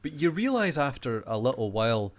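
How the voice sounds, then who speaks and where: A lively British man in his forties. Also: medium pitch, a little fast. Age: 40s. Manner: animated, swearing, ironic. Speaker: podcast guest. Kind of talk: casual chat.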